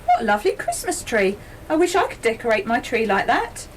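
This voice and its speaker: A woman's voice: a little high, huge pitch swings, a little fast. A woman acting a friendly, fussing lady train passenger in an amateur radio play, polite and full of exclamations. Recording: noisy community radio studio recording.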